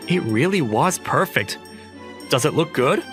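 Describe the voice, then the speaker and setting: An awkward young working man in a romcom manga dub, acted by a man, with a lively, swinging pitch. He muses in a hesitant, self-deprecating way. Soft music plays underneath. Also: a little slow. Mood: musing, bemused. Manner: hesitant, self-deprecating, dryly musing to himself. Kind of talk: manga dub voice acting with inner monologue.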